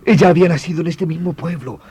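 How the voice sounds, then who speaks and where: An actor playing an anguished doctor confessing a killing, halting and theatrical, swinging from murmurs to outcries in old radio horror style. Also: male voice, a little high, mellow, slightly rough. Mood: horrified grief. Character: anguished doctor, brother who confesses killing his vampire wife. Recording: noisy old radio drama recording.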